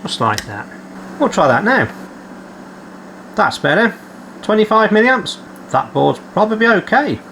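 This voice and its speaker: Repair-channel host, male, slightly higher pitch, methodical and matter-of-fact. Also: big pitch swings, unhurried, gravelly, long pauses, pushed and bright, slight background noise, low-quality recording. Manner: methodical, reads out measurements as he works. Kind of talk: calm narrated workshop repair. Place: home workshop.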